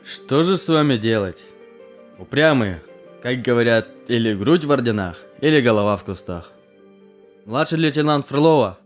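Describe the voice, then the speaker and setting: A man playing a gruff, commanding army major who is exasperated but protective, in an amateur audio drama. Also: mid-range voice, animated, moderate pace, soft background music, natural voice. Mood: resigned, bitter. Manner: commanding, stern.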